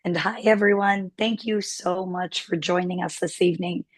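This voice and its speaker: A woman with a hoarse, tired voice hosting a virtual town hall as an organization's president, speaking with an emphatic, repetitive rallying cadence. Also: fairly low pitch, fairly even intonation, moderate pace. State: hoarse, losing her voice. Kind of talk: rallying political speech.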